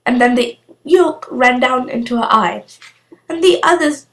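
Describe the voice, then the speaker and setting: A woman's voice: sits high, measured pace, fairly bright tone. A woman reading a children's storybook aloud as scripted narration. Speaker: storybook reader.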